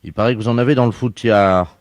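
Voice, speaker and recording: A man playing a matter-of-fact town road-works official in a deadpan prank call, colloquial, insistent and faintly exasperated. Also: on the low side, quick pace, no echo, close to an everyday voice. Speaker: prank caller.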